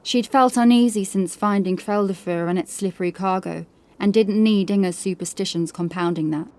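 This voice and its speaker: A woman's voice: mid-range voice, moderate pace, darkish tone. A woman reading scripted horror fiction as an audiobook narrator.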